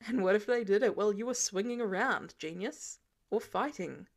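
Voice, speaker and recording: A woman's voice: mid-range voice, normal speed, lightly acted. A woman voicing a sardonic older male inventor who teases his young companion in an audiobook story. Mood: dry, teasing. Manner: dry, exasperated, teasing.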